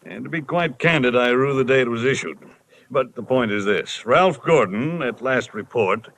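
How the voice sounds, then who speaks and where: Stuffy executive, sharp bright voice, swinging intonation, emphatic, old radio drama, faint noise. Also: male voice, medium pitch, lively intonation, normal speed. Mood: agitated, emphatic. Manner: proper, emphatic, hesitant. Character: stuffy, agitated insurance company executive. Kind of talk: 1940s radio acting. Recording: noisy vintage radio recording.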